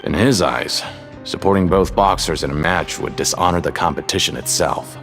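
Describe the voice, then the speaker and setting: A man's voice: sits low, normal speed, fairly bright tone. An actor playing a shifty, folksy ticket seller at a fight ring in a video game, with a casual, confiding, hedging salesman's manner. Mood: storytelling. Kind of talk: video game character acting. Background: music.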